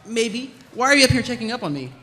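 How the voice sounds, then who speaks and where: A man's voice: very high-pitched, big pitch swings, quick pace, bright, forceful tone, a little hiss or hum, heavily acted. A man playing a cocky, evasive slacker soldier in a comedic table read, speaking casually and a little defensively.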